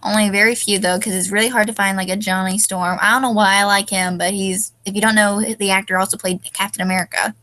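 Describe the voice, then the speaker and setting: A tired young woman, her nose stuffed up, chats casually at a mid-range pitch. She rambles, pauses often on "um", and loses her place mid-thought. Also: fairly even intonation, sharp tone, rough recording. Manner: hesitant, many ums, loses her train of thought. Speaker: fanfiction reviewer.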